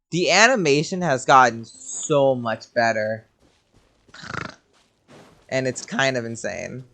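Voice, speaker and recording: A woman's voice: extremely low pitch, a bit bright. A woman giving casual commentary while playing a video game. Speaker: gamer reacting to a story quest. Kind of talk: casual commentary over gameplay.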